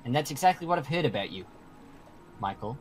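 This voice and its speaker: Mortal peacemaker for game roleplay, male actor: stern, earnest, deliberate delivery, music underneath. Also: medium pitch, animated, natural voice. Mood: firm, reasoning. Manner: measured, deliberate, emphatic phrasing. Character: earnest mortal man, stern peacemaker among supernatural creatures. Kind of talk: amateur game roleplay acting.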